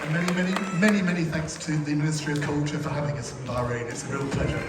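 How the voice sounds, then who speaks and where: A man speaking live to an audience as puppeteer and presenter, gracious and slightly halting in his delivery. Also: fairly high pitch, heavy background noise. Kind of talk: live address to an audience after a show. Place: large indoor cultural hall before an audience. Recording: echoey hall, distant mic, crowd and music.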